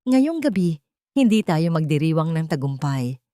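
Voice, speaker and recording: A woman voicing a resolute woman pilot who speaks loud, clear and piercing. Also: low voice, sing-song, moderate pace, no room sound, studio quality. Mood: defiant.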